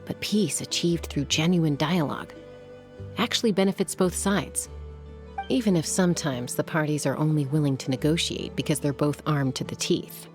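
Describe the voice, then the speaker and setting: A woman's voice: deep voice, normal speed, close to an everyday voice. An actress playing a cool, confident young woman warrior and peacemaker, wry and composed, in a video game. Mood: reflective, composed. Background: background music.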